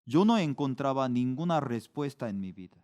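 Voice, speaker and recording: A middle-aged man, preaching a sermon in a slow, deliberate way, with sudden loud rises for emphasis. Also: mid-range voice, animated. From Korea. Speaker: pastor.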